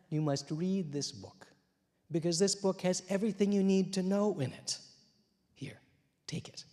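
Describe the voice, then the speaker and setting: A man in his forties, a little hoarse, telling a personal story on a theater stage into a handheld mic, reflective and gently humorous with many small pauses and fillers. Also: mid-range voice. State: slightly hoarse from many interviews. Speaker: translator and poet. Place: theater stage, dark room. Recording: long reverb of a theater hall.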